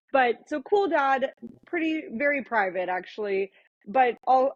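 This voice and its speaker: A woman in her thirties, a lawyer guest on a podcast, talking reflectively in a relaxed conversational way with many fillers and restarts. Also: medium pitch, no room sound, highs cut off. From born in Thailand, raised in Florida and India. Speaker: guest, attorney.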